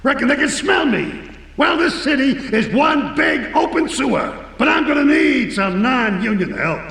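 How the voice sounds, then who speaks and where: Sneering streetwise cop, raspy and animated, in a video game cutscene. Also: male voice, very high voice, normal speed, echoey room, long reverb tail, distant mic, heavily acted, low-quality recording. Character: corrupt, cynical middle-aged male cop.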